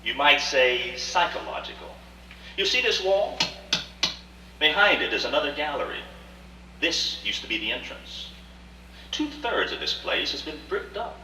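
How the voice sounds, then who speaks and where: Man playing cultured older collector, proud, formal radio drama delivery, highly animated, echoey distant rehearsal tape. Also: a little slow, long pauses. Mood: brooding. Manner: formal, theatrical, articulate. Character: proud, cultured older male art collector, self-justifying. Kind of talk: live radio play acting. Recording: roomy, distant, faint hum, old rehearsal tape.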